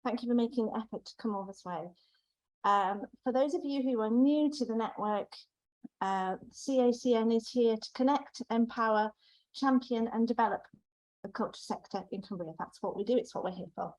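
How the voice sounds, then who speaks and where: A woman with a squeaky voice chairing a meeting and presenting from a stage in a welcoming way. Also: normal speed, darkish tone, rough recording. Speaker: network chair. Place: theatre stage.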